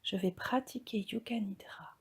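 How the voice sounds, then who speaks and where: A woman leading a guided yoga relaxation as an instructor, speaking calmly and softly, often close to a whisper. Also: mid-range voice, normal speed. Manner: calm, soft, breathy, often near-whispered delivery.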